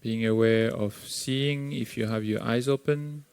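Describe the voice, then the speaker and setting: A man with a Sri Lankan accent guiding a meditation, speaking calmly and gently with long pauses between phrases. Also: medium pitch, gravelly, clean tone, no room sound. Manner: slow, calm, gently instructive with long pauses. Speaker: meditation teacher. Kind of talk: guided meditation.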